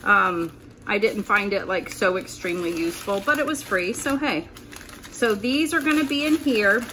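A woman's voice: mid-range voice, expressive, moderate pace, sharp tone, slight background noise, poor audio. A woman talking informally and thinking aloud as she hosts a casual meal-prep demonstration at home. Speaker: host of a keto cooking channel. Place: indoors at home, kitchen work surface.

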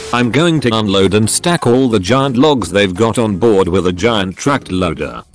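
Male narrator for scripted readings of online comments: fairly deep, highly varied intonation.

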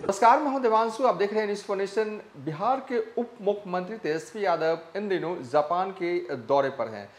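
A young man presenting a news report to camera with a lapel mic in a small studio, pausing often on "uh" fillers. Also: sits high, slight background noise. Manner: halting, many "uh" fillers. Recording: roomy echo.

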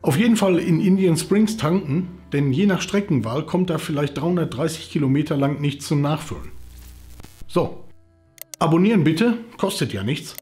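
A man's voice: on the high side, lively intonation, moderate pace, a little mellow. A man in his forties hosting a travel channel, presenting scripted narration to camera indoors. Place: indoors, living room. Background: background music.

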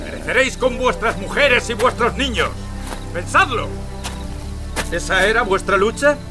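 An actor playing a gloating sheriff, taunting and menacing in a theatrical audio drama. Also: male voice, high voice, animated, normal speed, music in the background. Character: gloating, sneering villain sheriff hunting outlaws. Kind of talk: dramatized audio fiction acting.

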